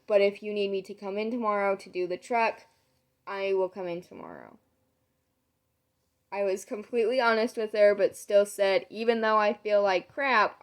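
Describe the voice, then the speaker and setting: Hoarse young woman, sore throat. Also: mid-range voice. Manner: rambling, many "um"s, retells conversations. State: losing her voice, sore throat. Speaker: vlogger. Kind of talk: casual catch-up storytelling to camera.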